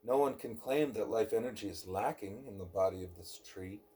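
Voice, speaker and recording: A man reading a scripted spiritual dialogue as an audiobook, in a measured way with emphasis on rhetorical questions. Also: fairly low pitch, dark tone, slight background noise. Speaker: audiobook reader.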